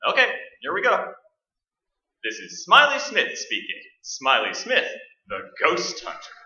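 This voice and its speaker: An actor playing a jaunty, chatty ghost-hunting radio host with showy, breezy announcer patter in a staged radio play. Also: male voice, on the high side, pushed and bright, full character voice. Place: indoor stage, live performance. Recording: roomy, distant, dull stage recording.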